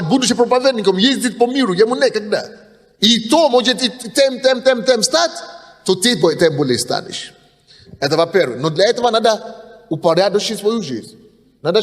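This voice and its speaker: A man in his thirties preaching a sermon from the pulpit, insistent and emphatic, often restarting words. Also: sits high. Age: 30s. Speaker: pastor. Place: church hall, at the pulpit. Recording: long hall reverb.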